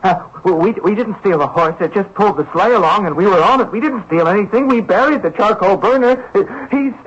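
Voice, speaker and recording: An actor playing a panicky, guilt-ridden young Russian drifter in a radio drama, stammering and pleading frantically. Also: male voice, high voice, brisk, fairly bright tone, slightly rough. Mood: hysterical pleading. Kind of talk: old-time radio drama acting. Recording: muffled old radio broadcast recording.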